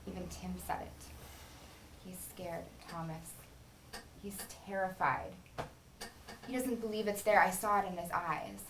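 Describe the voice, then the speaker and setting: An actress playing a wife who fears for her husband, swinging from whispered accusations to shouted anger. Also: lowish voice, measured pace, long pauses, echoey room, noisy background, lightly acted. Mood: fearful. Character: worried wife confronting obsessed astronaut husband.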